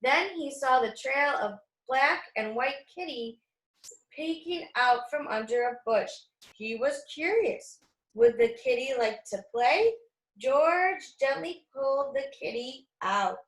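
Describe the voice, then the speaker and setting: High-voiced woman reading slowly to children. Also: lively intonation, fairly bright tone. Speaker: read-aloud storyteller. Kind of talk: children's picture book read-aloud with asides to young listeners. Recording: echoey room.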